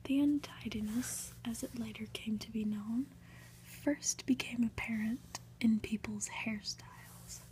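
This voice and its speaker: A woman reading a storybook aloud in a deliberate ASMR whisper. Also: on the high side, steady tone, darkish tone, poor audio. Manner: whispering, slow, deliberate delivery with occasional asides. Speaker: ASMR reader.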